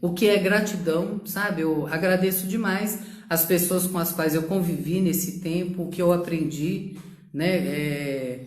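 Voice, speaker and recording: A woman's voice: lowish voice, steady tone, measured pace, darkish tone, roomy sound. A middle-aged woman from São Paulo state, telling her own career story in a casual live talk, reflective and full of fillers and tag questions. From São Paulo state, Brazil. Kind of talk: live social media talk.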